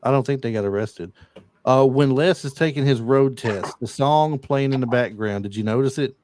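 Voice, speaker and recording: A man's voice: medium pitch, moderate pace, a little mellow, a little hiss or hum. A man hosting a casual podcast, retelling movie scenes and reading off film trivia with lots of fillers. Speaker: podcast host. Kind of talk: casual chat.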